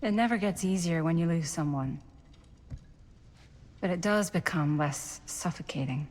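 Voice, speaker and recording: An actress playing a frank, reflective middle-aged American woman in a video game cutscene, speaking in a measured, plain-spoken way. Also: lowish voice, a little mellow. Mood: gentle, reflective. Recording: music under the dialogue. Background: background music.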